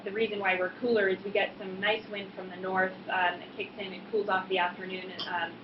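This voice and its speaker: An American woman in her thirties giving an informal, explanatory talk as a winemaker at a tasting, pausing often in a hesitant way. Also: medium pitch, little pitch movement. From Boston suburbs, US East Coast. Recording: echoey room, muffled, noisy background.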